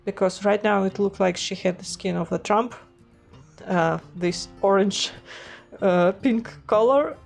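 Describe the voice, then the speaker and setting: A woman's voice: mid-range voice, unhurried, creaky, soft background music. A woman vlogger, stuffy from a cold, talking casually about her art with many hesitations. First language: Russian. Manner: hesitant, many fillers and restarts. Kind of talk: casual vlog narration.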